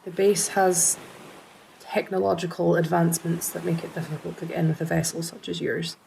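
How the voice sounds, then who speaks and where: A woman acting in a fan audio drama as a brisk, protective, matter-of-fact member of a military unit, with some background noise. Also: fairly low pitch, level tone, gravelly, dark tone, low-quality recording. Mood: firm, businesslike.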